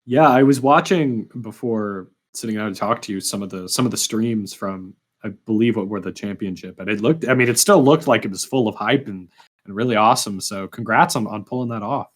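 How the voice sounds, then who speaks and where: A man hosting a podcast interview in a casual, informal and agreeable way, with a halting delivery. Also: on the low side.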